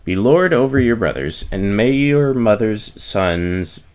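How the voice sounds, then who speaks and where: A man reading the Bible aloud from the text, giving the dialogue expressive emphasis in his own voice. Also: on the low side, measured pace. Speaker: reader.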